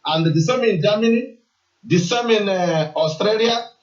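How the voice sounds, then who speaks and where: High guy calling in, fired up, keeps restarting, echoey dull line. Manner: impassioned, halting with repeated restarts. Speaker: caller. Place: Denmark.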